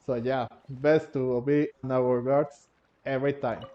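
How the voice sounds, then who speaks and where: A man doing casual, halting let's play commentary, rambling and sometimes reading game text aloud. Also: mid-range voice, fairly even intonation. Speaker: gaming video host. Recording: dull lo-fi mic, faint game music. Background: game music.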